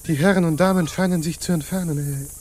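Hesitant, highish-voiced pirate underling played by a man. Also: fairly even intonation, fairly quick, a little mellow, lightly acted. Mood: uneasy, obsequious. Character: deferential, uneasy male pirate underling, hesitant worrier. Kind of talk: stage-like radio play acting.

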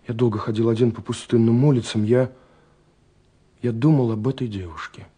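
An actor playing a lovestruck young man who narrates his letters in a lyrical, wistful, intimate way, with pauses, in a romantic radio drama. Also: medium pitch, steady tone, mellow, husky, natural voice. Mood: pensive, wistful. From Russia. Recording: 1970s radio recording with music.

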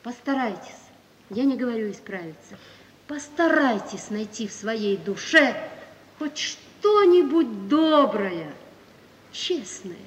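An actress playing a gentle, wronged wife who speaks with dignified, solemn resolve in an old radio play. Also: female voice, on the high side, lively intonation, slight background noise. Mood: solemn, pleading. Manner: solemn, measured, earnest declamation. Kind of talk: 1950s Soviet radio play acting. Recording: dull, distant 1950s radio recording, hall reverb.

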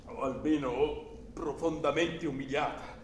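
An actor playing an older, overbearing, anxious country uncle in a theatrical, emphatic and volatile radio drama style. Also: male voice, a little high, fairly even intonation, unhurried, lightly acted. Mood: grave, reproachful. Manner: emphatic, volatile, swinging from hushed to exclaiming. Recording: roomy echo, faint background noise.

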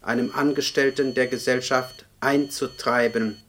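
An actor playing a dry, formal official who reads out police records in a radio play, punctuation included. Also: male voice, mid-range voice, a little slow, close to an everyday voice. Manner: monotone, formal, spells out punctuation. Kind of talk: German radio play acting, document read-out. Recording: older radio drama, noticeable background noise.

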